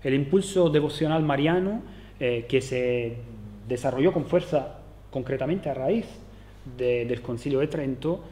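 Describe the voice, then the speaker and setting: A man's voice: mid-range voice, unhurried, warm tone, a little hiss or hum. A man who speaks Spanish as a second language, with an Italian accent, giving an academic conference lecture, hesitant and full of restarts and fillers. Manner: hesitant, many fillers and restarts, tag questions.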